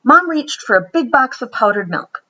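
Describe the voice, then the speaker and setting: A woman reading a children's picture book aloud in an animated, expressive storytelling manner. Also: a little high, moderate pace, muffled recording, slight background noise. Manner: animated, dramatic storytelling with whispered asides. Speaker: storybook reader.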